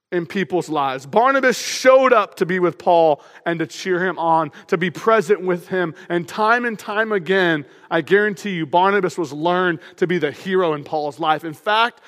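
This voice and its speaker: High-voiced man preaching loudly. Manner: loud, energetic, emphatic preaching. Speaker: pastor. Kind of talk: sermon. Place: church auditorium. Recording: long hall reverb.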